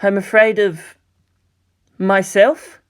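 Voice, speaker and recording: A man's voice: high voice, level tone, takes it slowly, clear, resonant tone, voice pushed up. Guy doing a teen boy hero, reluctant but defiant, super earnest and intense, audio story. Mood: hesitant. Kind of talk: dramatised fan fiction reading.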